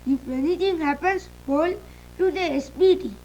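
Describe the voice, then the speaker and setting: Woman, high pitch, halting announcer delivery, amateur scripted bulletin, some background noise. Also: mellow, low-quality recording. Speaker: announcer in a fictional emergency broadcast.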